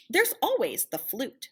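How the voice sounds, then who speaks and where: A woman's voice: sits high, pitched above the performer's own voice, heavily acted. Woman acting out an exasperated, dramatic band teacher. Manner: dramatic, put-upon, scolding and coaxing. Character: exasperated band teacher leading young pupils.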